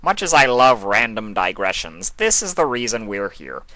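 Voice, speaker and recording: A man voicing a cheeky, sarcastic male chaos spirit with a flippant, deadpan streak. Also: lowish voice, moderate pace, no room sound, pitched above the performer's own voice, low-quality recording. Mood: cheeky, teasing. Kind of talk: fan fiction character voice.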